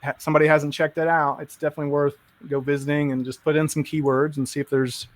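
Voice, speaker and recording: A man's voice: fairly high pitch, flat delivery, fast talker, no room sound. A man chatting enthusiastically and rambling a bit on a tech stream, full of fillers. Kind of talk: casual tech chat.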